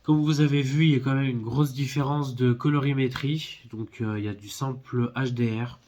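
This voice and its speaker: A man in the middle of a casual unboxing, his voice thick and stuffy from a head cold. He speaks at a medium pitch, friendly but halting, and often stops to search for the right word. His tone stays easygoing and chatty throughout. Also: fairly even intonation, a little mellow, slight background noise. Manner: hesitant, lots of filler sounds, friendly. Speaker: film collector YouTuber.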